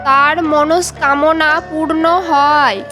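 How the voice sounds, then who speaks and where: A woman's voice: high voice, flat delivery, takes it slowly. A woman narrating a scripted children's fairy tale, with faint music behind her.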